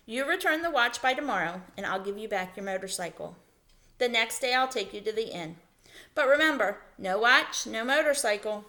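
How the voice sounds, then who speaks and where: A woman's voice: a little high, animated, normal speed, sharp tone. Woman reading as a shrewd young camper boy. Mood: firm. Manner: questioning, deal-making. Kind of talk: storybook read-aloud.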